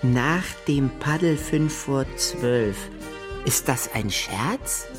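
Eccentric dreamy gentleman, very slow, animated intonation, radio play, musing to self. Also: very deep voice, music in the background, full character voice. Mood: puzzled, musing. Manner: musing aloud to self in short fragments. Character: Herr Kuckuck, eccentric solitary gentleman dreaming of seafaring. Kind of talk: children's radio play acting.

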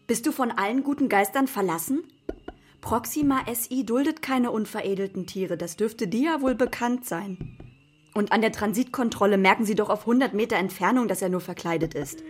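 An actress playing a loving but firm, worried mother in a children's radio drama, expressive, moving between stern and tender. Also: a little high, fairly quick, husky. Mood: stern, alarmed.

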